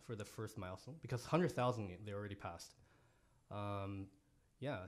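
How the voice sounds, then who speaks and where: A young man raised in Japan, a podcast guest speaking earnestly and softly with halting restarts, on a studio mic in an office. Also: lowish voice. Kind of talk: podcast interview. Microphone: studio mic on boom, headphones.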